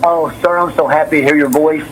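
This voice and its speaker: A man's voice: fairly high pitch, steady tone, a little fast, fairly bright tone. Nervous, rambling man on a noisy call. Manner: agitated, repetitive, stumbling over words. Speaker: city councilman and business owner on a phone call. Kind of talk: rambling phone conversation. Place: on a telephone. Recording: noisy recorded phone call.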